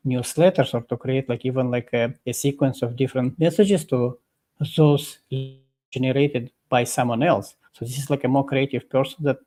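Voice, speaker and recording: A man being interviewed as a guest over a video call, explaining at length in a halting way full of fillers and restarts. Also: mid-range voice, warm tone. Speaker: guest expert.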